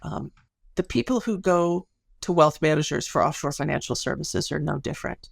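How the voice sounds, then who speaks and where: Woman, gravelly vocal fry, close dry sound, academic interview guest, conversational. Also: low-pitched, normal speed, no echo. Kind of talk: conversational interview, explaining research.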